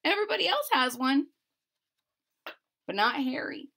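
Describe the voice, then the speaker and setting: A woman with a high, bright voice reads a children's story aloud. She takes her time, leaning into the rhymes with emphatic, sing-song phrasing. Her pitch swings widely as she moves between the text and her own chatty asides. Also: normal speed. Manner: animated, emphatic, sing-song rhyming delivery. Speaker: storyteller reading a picture book aloud. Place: small furnished room at home.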